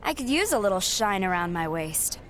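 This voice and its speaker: A woman's voice: mid-range voice, sing-song, moderate pace, noisy background, close to an everyday voice. An actress playing a confident, sassy young woman wrestler in a video game cutscene, cocky and blunt. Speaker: wrestler character.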